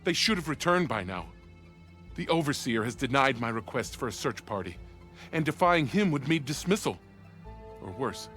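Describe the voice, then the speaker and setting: A man's voice: medium pitch, sing-song, normal speed, fairly bright tone. An actor playing a formal, anxious male weapons officer in a video game, earnest and deferential in his manner. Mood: pleading, anxious. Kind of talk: video game cutscene acting. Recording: music behind the dialogue.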